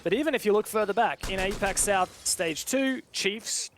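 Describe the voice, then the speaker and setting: A man's voice: high-pitched, moderate pace, a bit bright, faint music behind. A man doing live play-by-play commentary on an esports match in clipped, dramatic phrases.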